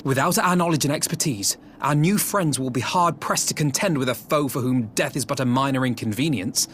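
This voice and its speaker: An actor playing an idealistic young scholar-diplomat in a video game, articulate, formal and persuasive. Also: male voice, medium pitch, fairly quick, a little hiss or hum, natural voice. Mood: earnest, determined. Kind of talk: video game cutscene acting. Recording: loud game music behind.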